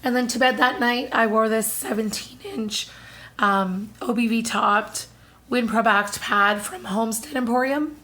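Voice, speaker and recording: A woman in her thirties with a hoarse, husky voice, still recovering from losing it, chatting casually to camera with lots of fillers. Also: a little high, unhurried, sharp tone. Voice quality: husky, strained. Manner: chatty, apologetic, many fillers. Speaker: vlogger. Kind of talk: casual monthly haul-style chat to camera.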